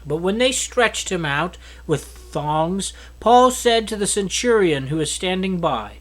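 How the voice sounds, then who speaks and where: Male Bible reader, notably high voice, expressive scripted narration. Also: a little slow.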